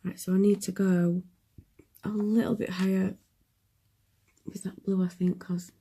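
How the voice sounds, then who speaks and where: A woman's voice: mid-range voice, little pitch movement, measured pace, warm tone, husky. A young woman, chatting casually through a makeup tutorial, sniffly from a cold and often dropping to a whisper as she works. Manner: chatty, self-deprecating, drops into whispers while working.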